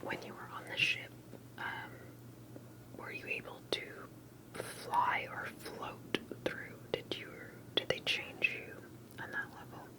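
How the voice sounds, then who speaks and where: A woman speaking in a breathy, unvoiced whisper, gently and hesitantly putting questions in an ASMR roleplay interview, with soft unhurried pauses. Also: poor audio. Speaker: ASMR performer playing an interviewer.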